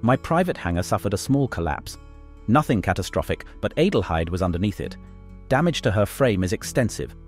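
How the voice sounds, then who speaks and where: A deep-voiced polite old villain. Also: male voice, sing-song, a little fast, soft background music. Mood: courteous. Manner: polite, formal, quietly menacing. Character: older male German aircraft collector, villain.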